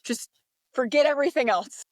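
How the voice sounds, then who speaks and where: A woman from the United States, a podcast guest, talking in a relaxed, reflective way with fillers and restarts. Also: sits high, brisk, dry recording. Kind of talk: casual interview talk.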